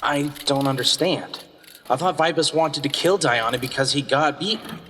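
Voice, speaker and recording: Bright, inquisitive young student in echoing hall, man acting. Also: on the high side, moderate pace. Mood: puzzled, intrigued. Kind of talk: fan audio drama acting. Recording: reverberant hall with faint noise.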